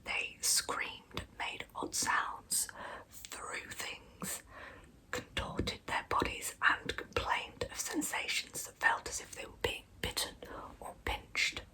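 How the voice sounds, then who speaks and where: A woman narrating true crime as ASMR in a soft, breathy whisper, reading in a measured way with wry, informal asides. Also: poor audio. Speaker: ASMR storyteller and channel host.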